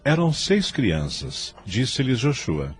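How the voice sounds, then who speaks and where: A man's voice: medium pitch, wildly swooping pitch, takes it slowly, soft background music. A man with a Brazilian accent narrating a story in a scripted, dramatic style.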